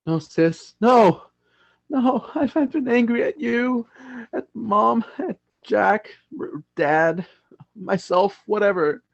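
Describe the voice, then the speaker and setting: Guy doing hurt angry teen boy, radio drama, high voice, close dry sound. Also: lively intonation, takes it slowly, creaky, dry recording, muffled recording, full character voice. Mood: pleading, upset. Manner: bitter, emphatic, short clipped phrases. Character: angry, resentful teenage younger brother, wounded. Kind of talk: radio play acting.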